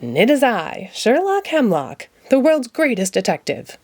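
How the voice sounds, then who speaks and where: A woman's voice: fairly high pitch, wildly swooping pitch, a little slow, a little mellow, pitched above the performer's own voice, exaggerated voice. A woman voicing a pompous, bumbling male puppet detective from a children's storybook, declaiming grandly. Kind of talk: children's storybook read-aloud.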